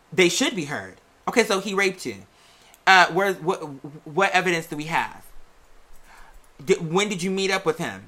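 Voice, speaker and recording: A podcast host chatting casually and sassily to listeners, with theatrical, drawn-out emphasis on key words. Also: medium pitch, pushed and bright. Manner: sassy, chatty, addresses listeners as "girl" and "y'all". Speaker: show host and music artist. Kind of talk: casual conversational monologue to listeners.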